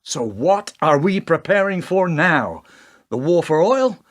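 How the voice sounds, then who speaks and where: A defiant, principled president acted by a man in a radio play. His voice sits fairly high and moves in wide swings, with an orator's questioning, indignant yet composed manner. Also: big pitch swings, unhurried.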